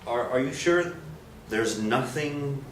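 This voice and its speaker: A man's voice: mid-range voice, slow pace, lightly acted. An actor playing a nosy, lecherous priest in a staged play reading, coaxing and insinuating as he goes. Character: nosy, wheedling, lecherous priest hungry for confessions. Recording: roomy, distant stage recording, faint noise.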